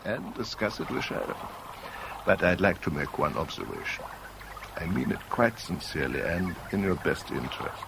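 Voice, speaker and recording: An actor playing a suave, calculating rival in a 1970s radio drama, urbane and faintly mocking. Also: male voice, low voice, very animated, moderate pace, gravelly, heavily acted. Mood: measured, pointed. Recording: noisy old radio broadcast recording.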